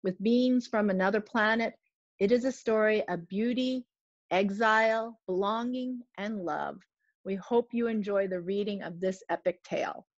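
Middle-aged woman as presenter, mid-pitched, scripted intro delivery, dry but slightly muffled home recording. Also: moderate pace, dry recording. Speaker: host introducing a guest reader. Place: living room at home. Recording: slightly dull, lo-fi sound.

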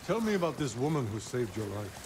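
A battle-hardened samurai lord in a game drama. He speaks with formal authority and stern honor. Clear noise and ambience sit behind the voice. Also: mid-range voice, big pitch swings, fairly quick. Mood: wary. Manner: formal, commanding. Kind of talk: dramatic video game acting.

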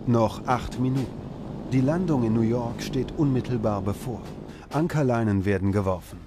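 A man reading scripted documentary narration in a measured, dramatic way. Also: medium pitch, background noise. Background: music bed.

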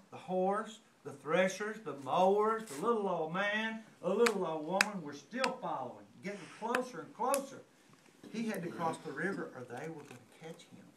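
An older man in his sixties reads a picture book aloud to small children. His delivery is expressive and conversational. He is picked up from across an echoey, noisy classroom. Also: high-pitched. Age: 60s. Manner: expressive, repeats refrains, asks children questions. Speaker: guest reader to a class of young children. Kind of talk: animated storybook reading aloud. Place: indoor classroom with young children. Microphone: distant handheld camera. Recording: distant mic, echoey room, noisy with clicks.

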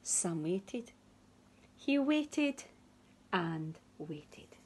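A woman in her thirties reading a picture book to young children expressively and deliberately, with dramatic pauses. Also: fairly low pitch, sing-song, slightly rough. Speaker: early years teacher reading to children. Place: small room at home, indoors.

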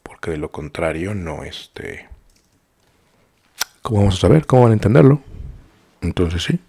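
A middle-aged Mexican man teaches a live-streamed art class from a small home studio. His voice sits very low, with a husky, gravelly grain. He rambles warmly to his students, full of fillers and restarts. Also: sing-song, moderate pace. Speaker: art instructor.

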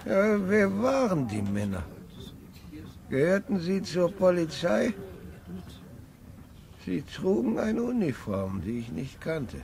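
A man's voice: high voice, very animated, takes it slowly, vocal fry, long pauses, darkish tone, full character voice. An actor playing a very old grandfather who keeps retelling his memories, in a wavering, musing voice, heard on a noisy old radio recording. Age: older. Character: very old grandfather, rambling, haunted by the past. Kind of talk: 1950s radio play acting.

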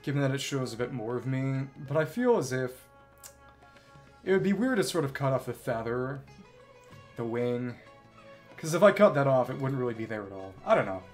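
A game streamer with an animated, mid-pitched voice talks casually to viewers. The delivery is musing and clipped, half-reading on-screen dialogue, with faint music behind it. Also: normal speed, long pauses.

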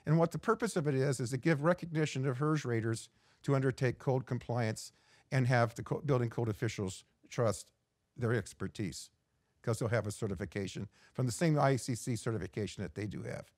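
A middle-aged man giving a prepared keynote as an executive director, measured and reading from slides with occasional restarts. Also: medium pitch, a little mellow, no room sound, clean studio sound. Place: indoor studio in front of a backdrop.

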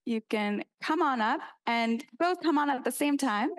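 A woman's voice: sits high, expressive, normal speed. A young woman hosting a stage event, welcoming and directing speakers. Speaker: event host.

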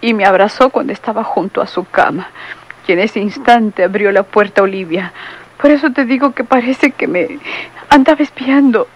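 Actress playing a chatty young nurse. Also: female voice, mid-range voice, moderate pace, close to an everyday voice, rough recording. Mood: gossipy. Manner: pleading, then gossipy and playful. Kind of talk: vintage radio drama acting. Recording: old radio recording.

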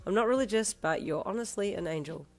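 A woman voicing an excitable young adult who speaks eagerly and expressively. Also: medium pitch, quick pace, natural voice.

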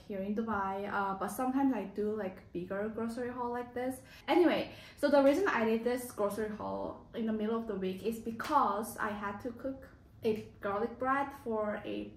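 A young adult woman vlogger chatting casually and informally to the camera in a home kitchen. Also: mid-range voice. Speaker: lifestyle vlogger. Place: small home kitchen, indoors. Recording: echoey room, clear background noise.

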